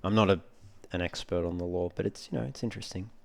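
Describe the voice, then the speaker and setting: A man giving casual, low-key let's play commentary, musing aloud as he plays. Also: fast talker, vocal fry, darkish tone. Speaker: gaming video host. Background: game music.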